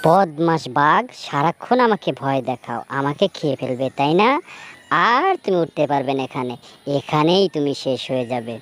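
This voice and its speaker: A woman's voice: low-pitched, animated, moderate pace, slight background noise. A woman voicing a sly, cunning trickster fox in a cartoon fable, with a wheedling and scheming manner. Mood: gloating. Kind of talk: animated fable character voice acting.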